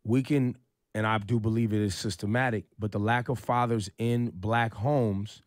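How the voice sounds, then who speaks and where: A man's voice: fairly low pitch, steady tone, normal speed, dry recording. Man in his forties, radio host, emphatic, rhetorical questions, dry close studio mic. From California. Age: 40s. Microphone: studio mic on boom, headphones.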